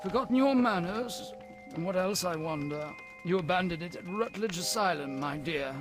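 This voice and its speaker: A peevish Victorian gentleman holds forth in a period game. He has an English accent and a high, fussy voice. He sounds pompous and exasperated over loud music. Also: animated, moderate pace. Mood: peevish, dismissive.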